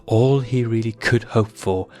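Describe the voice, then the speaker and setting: A man's voice: mid-range voice, unhurried, darkish tone. A man reading a story aloud as a narrator, in a dramatic, scripted storytelling style. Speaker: fan fiction reader and narrator. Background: music.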